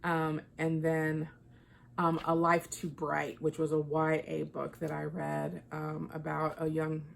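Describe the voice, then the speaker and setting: A woman's voice: low-pitched, monotone, takes it slowly, gravelly, slight background noise. A woman giving an informal, conversational book review, thinking aloud as she goes. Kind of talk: casual book chat vlog.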